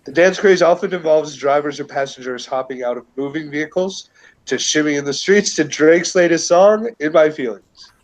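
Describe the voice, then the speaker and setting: A man's voice: a little high, moderate pace. A man with a stuffed-up cold reading a news article aloud as a stream co-host, in a loose casual way. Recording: dull lo-fi call audio.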